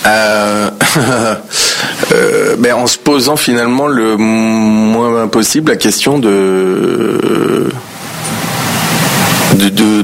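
A man, an actor, talking casually in an interview, often halting to search for words. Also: lowish voice, noisy background.

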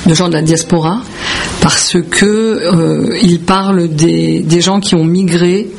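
A woman from Belgium with a creaky voice, answering as a musician in a radio interview in halting, repetitive phrases. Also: on the low side, steady tone, slight background noise. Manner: hesitant, halting phrasing with repeated words. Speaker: musician, founding member of a band.

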